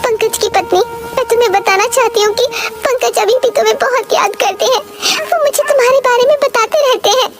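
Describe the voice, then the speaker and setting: A woman voicing a gentle young wife in an animated story, with music behind. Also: very high voice, brisk, hardly any pauses. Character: kind young wife of the son.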